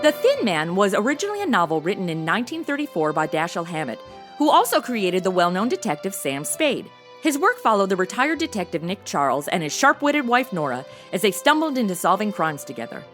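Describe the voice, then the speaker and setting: A woman's voice: mid-range voice, very animated, brisk, soft background music. A woman reading a scripted informational narration as the narrator of a show.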